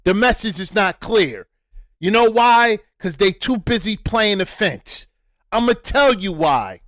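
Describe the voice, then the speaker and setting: Emphatic high-voiced man, slow and preachy. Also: expressive, fairly bright tone, dry recording. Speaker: reaction commentator. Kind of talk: reaction commentary.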